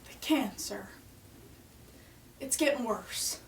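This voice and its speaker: A woman's voice: on the high side, expressive, takes it slowly. A woman playing an earnest member of a struggling 1940s family in a radio play. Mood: weary, firm. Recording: echoey room, distant mic, faint hiss.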